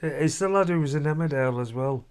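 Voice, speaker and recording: A man's voice: a little high, fast talker, a little mellow, clean tone. A middle-aged man, a father chatting casually in a family vlog from a hotel room, rambling and down-to-earth. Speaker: vlogger, father.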